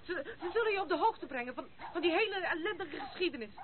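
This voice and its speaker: An actress playing a proud, troubled young noblewoman in a Dutch radio play, guarded and defiant, with halting speech. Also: very high voice, lively intonation, a crowd in the background. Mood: bitter, defensive. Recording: noisy, thin phone-like old radio recording.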